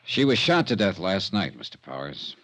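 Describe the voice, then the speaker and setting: A man's voice: deep voice, big pitch swings, moderate pace, a bit bright. An American man playing a cool, tough insurance investigator in a 1950s radio drama, terse and matter-of-fact. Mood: grim, terse. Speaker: lead actor and narrator. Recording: vintage radio broadcast with music.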